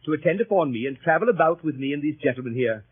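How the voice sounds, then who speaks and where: An actor playing a fussy, earnest gentleman with a formal, hesitant manner, in old radio drama style. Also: on the high side, expressive, fast, breathy edge. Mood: businesslike. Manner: formal, hesitant, stammering indignation. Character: fussy, earnest, easily flustered English gentleman club leader. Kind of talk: 1930s radio acting. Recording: muffled, scratchy 1930s radio broadcast.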